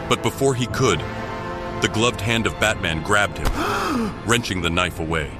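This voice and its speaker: A man narrates a scripted audio drama in a deep voice. His delivery is dramatic storytelling. Music plays clearly behind him. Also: huge pitch swings, unhurried.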